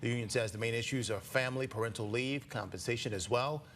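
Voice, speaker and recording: A man's voice: mid-range voice, fast talker, a bit bright. American male news anchor, some background noise. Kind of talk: morning newscast delivery, scripted with brief banter.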